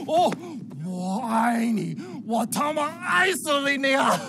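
A shrill, drunk, manic playboy. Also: male voice, very animated, slow pace, gravelly, heavily acted. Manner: slurred, whooping, wildly erratic. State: drunk, shrieking. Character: drunk, manic, spoiled male casino owner. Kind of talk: video game cutscene acting. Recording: loud noisy room ambience.

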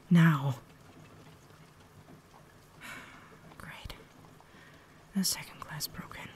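A woman playing a cultured, caring vampire lady in a refined, whispered ASMR roleplay, holding herself back. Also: sits low. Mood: tense, restrained. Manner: refined, formal, soft-spoken whisper.

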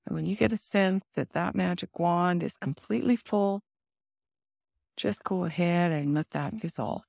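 A woman leading a guided meditation in a soothing, instructive manner with deliberate pauses. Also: lowish voice, creaky, a little mellow, dry recording, studio quality.

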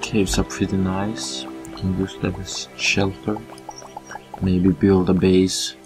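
A man with a Swedish accent giving relaxed let's-play commentary, thinking aloud as he plays. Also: deep voice, music in the background. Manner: relaxed, thinking aloud while playing, slow with fillers. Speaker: gameplay commentator.

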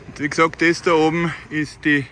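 A man's voice: on the high side, little pitch movement, normal speed, pushed and bright, slight background noise. A man with a Viennese accent chatting casually as a CB radio hobbyist outdoors, often ending phrases with tag questions. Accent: Viennese Austrian. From Austria. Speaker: CB radio hobbyist filming a vlog. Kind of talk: casual radio chat and commentary. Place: outdoors by bushes on a roadside. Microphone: handheld phone filming.

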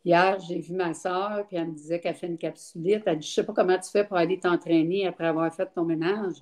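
A woman's voice: lowish voice, fairly even intonation, fast, darkish tone. An older woman with a Quebec French accent, speaking frankly on a video call, with many fillers. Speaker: interview guest. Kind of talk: casual testimony. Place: home room, indoors. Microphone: laptop webcam on video call.

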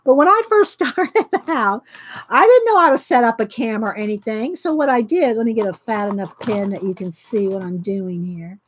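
Loud, chatty high-voiced woman. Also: lively intonation, brisk, slight background noise, poor audio. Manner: loud, chatty, laughs often. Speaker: craft video host. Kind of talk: casual live craft chat. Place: home craft room. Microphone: overhead camera pointing down at desk.

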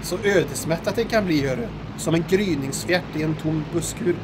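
Guy doing a wry wordy airman sergeant, highish voice, faint engine noise, radio play. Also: natural voice. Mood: dry, playful. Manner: wry, florid phrasing. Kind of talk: audio drama acting.

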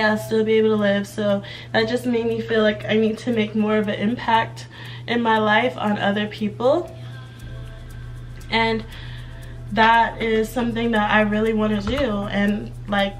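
A young woman chatting casually in a vlog update, a bit hoarse from laryngitis and rambling while she does her hair in her bedroom. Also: mid-range voice, a bit bright. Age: young adult. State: slightly hoarse from recent laryngitis. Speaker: vlogger. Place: bedroom with clothes rack, indoors.

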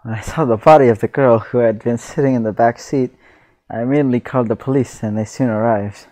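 Male online reader-reactor, mid-range voice, casual and expressive, close dry recording. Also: medium pitch, fast talker, no echo. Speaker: reactor reading a horror comic aloud. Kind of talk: casual read-aloud with spontaneous reactions.

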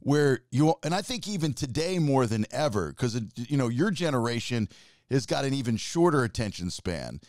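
Animated man hosting a podcast. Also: medium pitch, moderate pace, dry recording, studio quality. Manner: enthusiastic, talks with his hands. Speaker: podcast host. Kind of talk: casual interview chat. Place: home studio room. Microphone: studio mic with headphones.

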